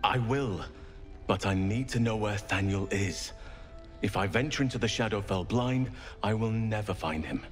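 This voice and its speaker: An actor playing a solemn, earnest old elven druid in a video game, speaking in a grave, measured, formal way. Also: male voice, fairly low pitch, soft background music, lightly acted. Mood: resolute. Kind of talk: video game character acting.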